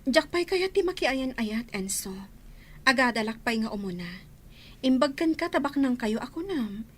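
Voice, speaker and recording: A woman's voice: high voice, lively intonation, normal speed. A woman voicing a frank, principled young female student in an Ilocano radio drama. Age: young adult. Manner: blunt, frank.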